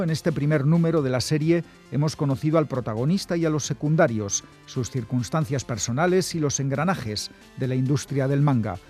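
A man's voice: fairly high pitch, warm tone. A man reading a scripted review as a book critic, with faint instrumental music behind him.

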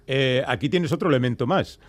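A man's voice: mid-range voice, expressive, brisk, no room sound. A man interviewing a guest in a conversational style, building long, discursive questions.

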